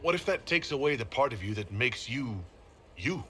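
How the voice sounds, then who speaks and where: A man playing a steady, concerned heroic leader in a video game, speaking in a measured, questioning way. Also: mid-range voice, slight background noise, close to an everyday voice. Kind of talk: video game cutscene dialogue.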